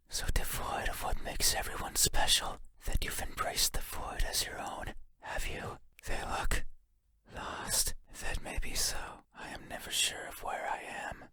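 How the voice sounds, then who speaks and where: Whispered horror game entity, acted by a man, breathy, unvoiced, slow, halting, intimate, lonely, menacing, mournful. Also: natural voice. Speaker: voice actor.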